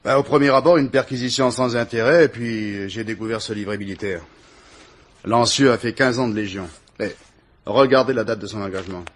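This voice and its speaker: An actor as a husky-voiced dutiful police detective. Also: male voice, lowish voice, fairly even intonation, fairly quick, lightly acted. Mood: eager, dogged. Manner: informal, reporting to his boss. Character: dutiful male police detective, deferential yet dogged assistant. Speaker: radio drama actor. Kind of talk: French radio crime drama acting.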